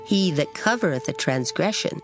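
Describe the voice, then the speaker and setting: Lowish, expressive woman reading scripture over music. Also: normal speed. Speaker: scripture narrator. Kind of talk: dramatized King James Bible reading. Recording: music under the voice. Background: background music.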